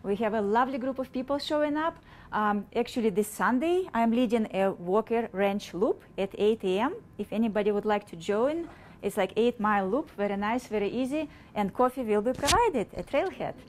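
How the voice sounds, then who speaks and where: A middle-aged woman from Ukraine speaks English with a Ukrainian accent and halting, informal phrasing. She gives a talk to a small seated audience in a store's event room. Her voice comes through a handheld microphone. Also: on the high side, animated, a little mellow. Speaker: guest speaker. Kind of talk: public talk. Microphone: handheld mic.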